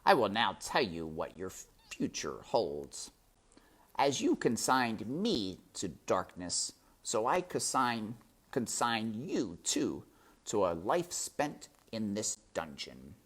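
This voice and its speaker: A man's voice: fairly low pitch, sing-song, slow pace, fairly bright tone, husky, pitched above the performer's own voice, full character voice. A man voicing a cruel, contemptuous rat villain from a storybook, theatrical and imperious in his scolding. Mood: scornful, gloating. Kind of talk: storybook character voice.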